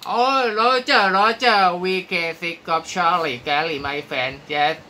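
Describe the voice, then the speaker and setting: A man with a Thai accent speaking halting, courteous English as an amateur radio operator, giving call signs and sign-offs. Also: high voice, sharp tone, a little hiss or hum. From Thailand. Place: small room at a radio desk, indoors.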